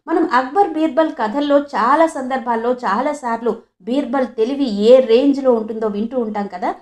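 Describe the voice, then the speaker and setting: A woman narrates a folk tale. She is expressive and conversational, often turning to address the listener directly. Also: a little high. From Andhra Pradesh, India. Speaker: storyteller, channel host. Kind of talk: expressive moral storytelling.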